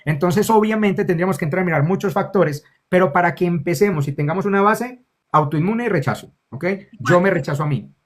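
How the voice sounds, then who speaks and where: A man's voice: high voice, fairly quick. A man with a Colombian accent, a spiritual guide explaining ideas in conversation and often checking in with "ok?" and calling the listener lovely. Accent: Colombian Spanish. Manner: affectionate, keeps checking in with "ok?". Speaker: spiritual guide, interview guest.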